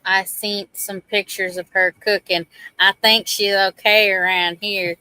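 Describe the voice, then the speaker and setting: A woman's voice: mid-range voice, level tone, unhurried, bright, forceful tone, smooth, no echo, low-quality recording. A middle-aged woman from Oklahoma with an American accent, chatting casually. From Oklahoma, United States. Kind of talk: casual chat.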